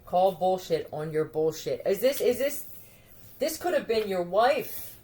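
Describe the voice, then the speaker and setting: A woman's voice: medium pitch, big pitch swings, measured pace, mellow. A woman talking in a scattered, profane monologue, addressing unseen listeners directly. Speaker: video creator. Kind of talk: rambling monologue to camera.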